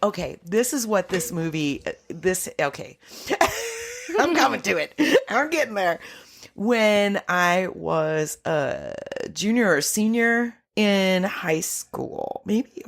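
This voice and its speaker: A woman co-hosting a podcast in casual movie banter, giggly and animated, slipping into mock voices. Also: medium pitch, slow pace. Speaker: podcast co-host.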